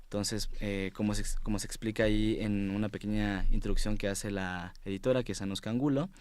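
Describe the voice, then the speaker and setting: Man, Mexico City accent, lowish pitch, dry studio sound, casual interview guest, hesitant, repetitive phrasing. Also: steady tone, fairly quick, darkish tone, clean tone, no echo. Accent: Mexico City Spanish. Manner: hesitant, repeats words, tags phrases with "¿no?". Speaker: interview guest, music writer and editor. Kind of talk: casual radio-style interview.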